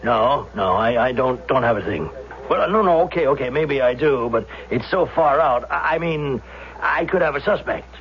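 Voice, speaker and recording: An actor playing a harried, skeptical homicide lieutenant with a stammering, incredulous manner in a 1970s radio drama. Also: male voice, mid-range voice, expressive, moderate pace, close to an everyday voice. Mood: flustered, defensive. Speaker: police detective. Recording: dull vintage radio recording, faint noise.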